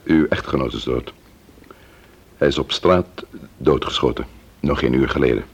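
An actor playing a sober, probing investigator in a Dutch radio play, with a measured, formal way of questioning. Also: male voice, very deep voice, husky, full character voice. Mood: grave. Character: sober, probing male investigator, breaking grim news.

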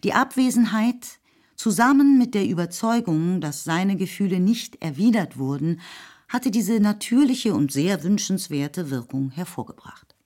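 A woman's voice: medium pitch, animated, unhurried, darkish tone. A woman narrating a radio drama in a scripted literary style. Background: music.

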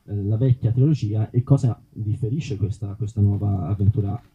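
A man moderating a live book presentation, introducing the author with hesitant pauses. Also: fairly low pitch, dark tone, husky, muffled recording. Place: outdoor festival event, live PA.

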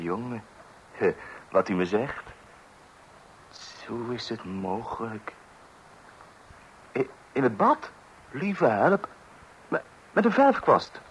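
Shocked sympathetic guy, slow drawn-out talk, radio play, noisy recording. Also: mid-range voice. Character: sympathetic listener aghast at tales of mischief. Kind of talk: Dutch radio play acting.

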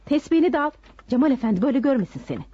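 An actress playing a bossy middle-aged mother in radio theatre, nagging and fussing in a hurried manner. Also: high voice, sing-song, a little hiss or hum. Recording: dull lo-fi radio recording.

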